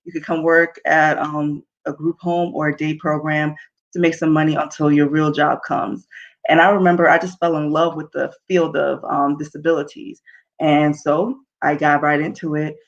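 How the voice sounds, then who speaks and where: A woman's voice: low-pitched, level tone, moderate pace, muffled recording. A young woman talking informally and earnestly to an online audience, encouraging listeners as a talk show host. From Nigeria and New Jersey, US.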